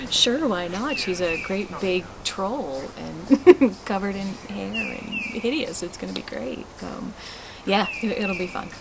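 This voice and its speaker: A woman's voice: mid-range voice, animated, takes it slowly, vocal fry. A woman chatting casually in an interview, amused and informal. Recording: noisy ambience with clicks.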